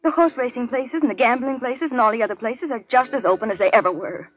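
An actress playing an earnest, troubled woman pleading with her gangster lover in a 1940s radio drama, breathy. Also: on the high side, fast talker, lightly acted. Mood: earnest, distressed. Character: earnest, troubled female city official, racketeer's sweetheart.

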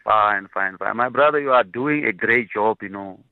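A man phoning in to a radio show greets everyone in a friendly way. His voice is gravelly and comes through a tinny, dry phone line. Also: fairly low pitch, normal speed, dry recording. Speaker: caller. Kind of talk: radio call-in.

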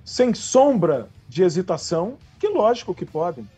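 A man gives casual football opinions on a podcast. His voice is unusually high and rough-edged, with soft music underneath. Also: very animated, moderate pace.

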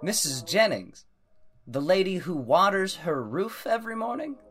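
An actor playing a teasing, unflappable young boyfriend in a radio drama, wry and incredulous. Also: male voice, high voice, sing-song, normal speed, a bit bright. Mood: amused, teasing.

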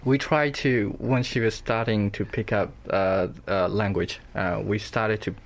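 Burmese accent guy, deep voice, slow thoughtful answers with lots of uhs. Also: steady tone. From Burma. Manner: hesitant, frequent "uh" and restarts, thoughtful. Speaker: interview guest, parent. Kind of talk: conversational interview.

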